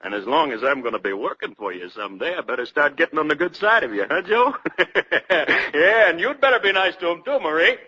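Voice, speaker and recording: A man's voice: fairly high pitch, expressive, fairly quick, bright, forceful tone, husky, heavily acted. An actor playing a jovial, boisterous trucking boss who likes a drink, talking slangily in 1940s radio style and laughing at his own jokes. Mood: friendly. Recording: muffled, noisy 1940s radio recording.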